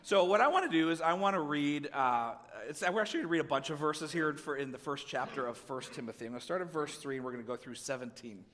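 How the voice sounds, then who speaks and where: A male pastor with a head cold, slightly raspy, giving a conversational sermon and reading scripture with asides to the congregation. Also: fairly high pitch, lively intonation, quick pace, hardly any pauses, a bit bright, big-room sound.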